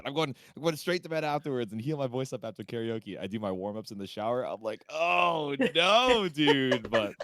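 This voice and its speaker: A man bantering casually as an esports commentator, slangy and laid-back, acting out quotes as he tells anecdotes. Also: medium pitch.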